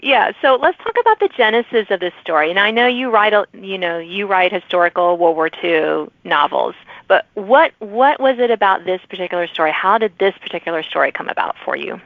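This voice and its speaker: A woman's voice: mid-range voice, expressive, brisk, dry recording. A woman hosting a casual author interview in a chatty, informal, agreeable manner. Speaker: podcast host. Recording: thin phone-like audio.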